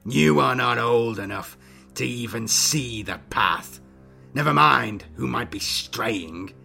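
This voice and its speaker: A man voicing a scarred, corrupted older inquisitor in an audiobook, with a hissing, gravelly voice and a slow, grandiose, scornful delivery. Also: mid-range voice, sharp tone, faint music behind, full character voice.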